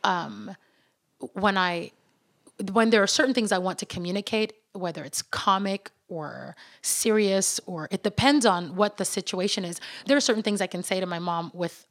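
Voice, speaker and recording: A woman from the Netherlands, Suriname and Venezuela, a podcast guest in casual, animated chat, laughing often and restarting sentences. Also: mid-range voice, normal speed. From born in the Netherlands, raised in Suriname and Venezuela.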